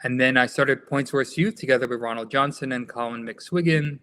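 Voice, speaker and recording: An American man speaks as a podcast guest in a clear, bright voice. He answers in a measured, thoughtful way and often stops on an um or an uh. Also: mid-range voice, a little hiss or hum. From United States. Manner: measured, with frequent um and uh pauses. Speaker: nonprofit founder, guest. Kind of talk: conversational interview answers.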